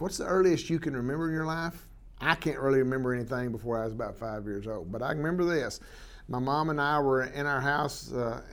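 A man, a guest telling stories about his life in a rambling way with many fillers. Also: medium pitch. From southwestern Oklahoma. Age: 60s. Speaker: interview guest, wildlife biologist. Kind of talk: relaxed storytelling interview.

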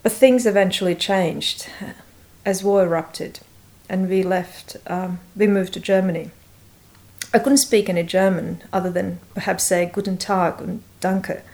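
A middle-aged woman from Bosnia, her first languages Serbian and Croatian, reading a prepared personal story in a measured way with occasional hesitations. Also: medium pitch, fairly even intonation, darkish tone. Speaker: senior lecturer.

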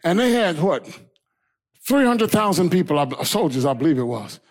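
A man preaching as pastor, building into a shouting, half-sung whooping cadence and then dropping to a quieter storytelling tone. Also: big pitch swings, normal speed. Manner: drops to low conversational storytelling. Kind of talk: sermon.

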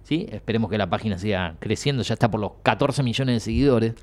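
A man's voice: on the low side, fast talker. A man with an Argentine accent hosting a radio show in a studio, chatting casually and joking around. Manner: chatty, jokey, rambling. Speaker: radio host.

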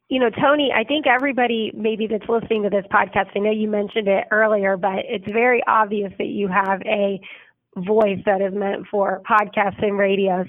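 A woman hosting a podcast interview, talking in a friendly, effusive way. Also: medium pitch. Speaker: podcast host. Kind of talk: casual interview talk. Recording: phone-like band-limited audio.

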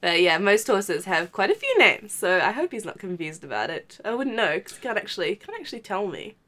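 A young woman, guesting on a relaxed podcast. Her voice is bright and sparkly, swinging lively between high and low. She laughs easily and pokes fun at herself as she talks. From New Zealand. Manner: giggly, self-deprecating, quick laughs between phrases. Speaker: guest, Twitch streamer. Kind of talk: casual podcast interview.

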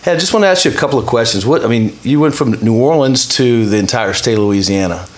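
A man hosting a conversational interview, wordy and halting, often repeating words as he frames his questions. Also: medium pitch. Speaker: host interviewing a guest.

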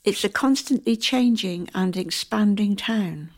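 A woman playing an earnest townswoman in a radio drama, proud of her community and river. Her voice sits at a middle pitch and she speaks with conviction. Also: measured pace, a little mellow. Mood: reflective. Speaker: community theatre actor. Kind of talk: radio play acting.

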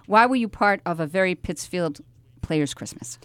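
A woman's voice: lowish voice, expressive, normal speed, fairly bright tone, clear, resonant tone, no room sound. A woman radio host chatting casually and informally with guests in the studio, encouraging them as she goes.

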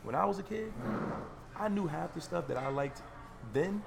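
Gravelly-voiced young man, rambling chat. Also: high-pitched, lively intonation, normal speed, noisy background. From Florida, US. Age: 20s. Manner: loose, rambling storytelling full of fillers. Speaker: podcast host. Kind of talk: casual podcast chat.

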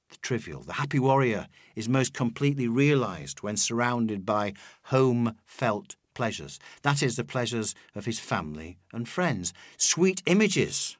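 A man's voice: medium pitch, dry recording. Man reading a reflective essay, dry close sound. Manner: measured, reflective, didactic. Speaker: author reading their own audiobook. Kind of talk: scripted literary narration with quoted verse.

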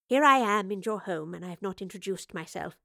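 A woman narrator plays an inquisitive lady professor from a time-travel tale. She is polite and precise in an old-fashioned, formal way. The pitch is average for a woman and the sound is dry. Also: expressive, a little fast, vocal fry, a bit bright, no echo. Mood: courteous, curious.

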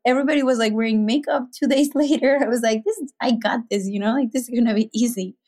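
A woman's voice: fairly high pitch, fast talker, darkish tone. A woman from Mexico City with a Mexican accent, a podcast guest talking in a chatty way full of "like" and "you know". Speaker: guest, business founder. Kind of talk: casual podcast conversation.

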